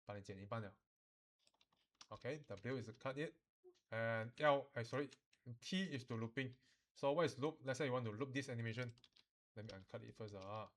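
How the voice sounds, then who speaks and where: A man teaching software informally, code-switching and often checking that students follow. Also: mid-range voice, moderate pace, pauses often. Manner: instructional, often checks understanding, uses particles like lah. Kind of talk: informal software tutorial mixing English and Mandarin.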